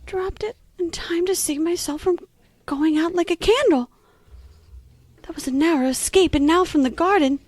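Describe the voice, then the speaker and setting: A woman's voice: extremely high pitch, moderate pace. A woman playing a curious, polite little girl who chatters earnestly and gets flustered. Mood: chattery. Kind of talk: audio drama acting.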